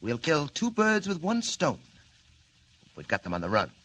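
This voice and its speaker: An actor playing a sly, pompous criminal mastermind in a 1940s radio serial, with formal, mocking and menacing phrasing. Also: male voice, a little high, huge pitch swings, moderate pace, fairly bright tone, exaggerated voice. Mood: gloating, scheming. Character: sly, pompous villain mastermind called the Wolf. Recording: noisy old radio recording.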